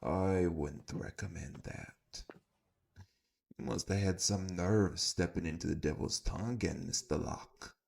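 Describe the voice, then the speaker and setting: A man playing a menacing crime boss in an audio roleplay, making slow, deliberate threats. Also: low voice, vocal fry, a little mellow, full character voice. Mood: cold menace.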